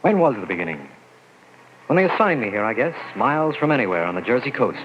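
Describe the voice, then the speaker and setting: A man's voice: medium pitch, big pitch swings, fast talker, highs cut off, heavy background noise, natural voice. An actor playing a shaken young army lieutenant, telling his story in tense first-person narration on an old radio drama recording. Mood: uneasy.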